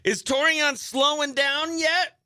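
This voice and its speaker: A man's voice: very high voice, fairly even intonation, slow pace, pushed and bright, no echo, clean studio sound. A man chatting as a morning radio show host, upbeat and talkative, sometimes muttering to himself as he works. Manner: upbeat, chatty, mutters to self while working. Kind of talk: behind-the-scenes radio chat. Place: radio studio.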